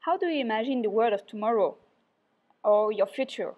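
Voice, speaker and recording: A woman's voice: fairly high pitch, lively intonation, moderate pace, darkish tone. A woman interviewer reading out interview questions plainly. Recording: dull lo-fi recording.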